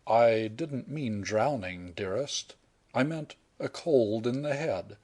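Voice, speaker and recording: A man's voice: mid-range voice, expressive, warm tone. A man reading aloud and voicing an unruffled young man who teases his sweetheart with dry humour. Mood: teasing. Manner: unhurried, dry, wry.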